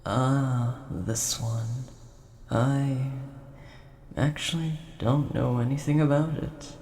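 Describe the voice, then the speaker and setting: Man voicing a slow, gravelly, scholarly old dragon. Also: mid-range voice, dark tone. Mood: curious, musing. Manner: formal, rambling, thoughtful storyteller. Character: large ancient nerdy dragon, gentle, scholarly, coin collector. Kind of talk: scripted audio roleplay addressed to the listener. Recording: roomy echo, faint background noise.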